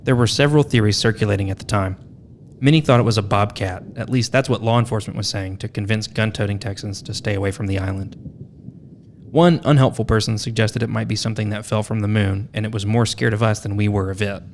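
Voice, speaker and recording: A man with a fairly low voice narrates a scripted podcast as its host. His delivery is wry, with tongue-in-cheek asides. Some noise sits behind the voice. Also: fairly even intonation, fast talker.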